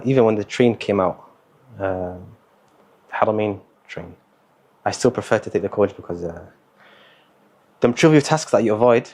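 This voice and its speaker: A young man, talking as a guest in a casual interview, relaxed and hesitant, with many fillers and Arabic words mixed in. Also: fairly low pitch. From Somali, born in the Netherlands, raised in England. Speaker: guest, university student.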